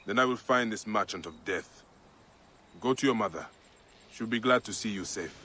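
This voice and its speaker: An actor playing a brooding, vengeful Egyptian warrior in a video game, gravelly, grave and intense. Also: male voice, fairly low pitch, moderate pace, pushed and bright. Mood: earnest. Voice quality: gravelly, husky. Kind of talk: dramatic video game acting.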